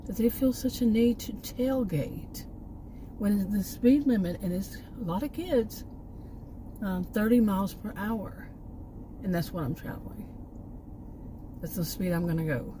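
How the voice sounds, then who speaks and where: Rambling American woman, mellow low voice. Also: a little slow, slight background noise. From Texas, United States. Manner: digressive, often trailing off and circling back. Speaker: vlogger. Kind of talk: casual rambling chit-chat. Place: outdoors near a park.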